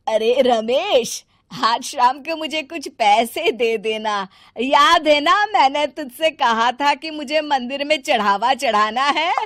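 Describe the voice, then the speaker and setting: A woman voicing an irritable, demanding elderly mother who scolds indignantly in an animated moral story. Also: high-pitched, slow pace, dry recording, clean studio sound, pitched above the performer's own voice.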